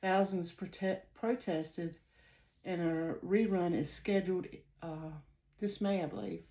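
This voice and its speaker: A woman with a Southern US accent giving a casual spiritual reading, halting with many ums as she reads from her notes. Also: lowish voice, fairly even intonation, warm tone. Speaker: psychic channeler.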